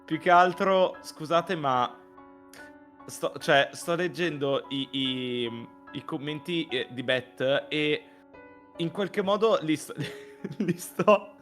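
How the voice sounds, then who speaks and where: A man chatting casually as a co-reader in a live stream, animated and often stumbling into restarts. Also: fairly high pitch, slow pace, soft background music. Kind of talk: casual group chat.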